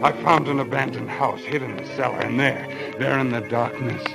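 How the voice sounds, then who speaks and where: A man's voice: mid-range voice, fairly even intonation, fairly quick, vocal fry. An actor playing a haunted, guilt-ridden man losing his mind to ape-madness, in a brooding, melodramatic old-time radio style. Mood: brooding dread. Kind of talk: old-time radio thriller acting, first-person narration. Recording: vintage radio recording with music.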